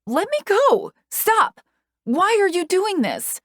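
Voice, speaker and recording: A woman's voice: extremely high pitch, sing-song, slow pace, no room sound, clean studio sound, pitched above the performer's own voice, exaggerated voice. A woman voicing a desperate, angry young woman patient who screams and pleads. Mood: frantic.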